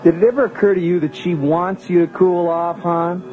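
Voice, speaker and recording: A man's voice: high voice, fairly even intonation, normal speed, narrow, tinny audio, a little hiss or hum, natural voice. An actor playing an eager, earnest young male rebel pilot in a 1980s audio drama. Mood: friendly, teasing. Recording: loud music behind, radio drama production.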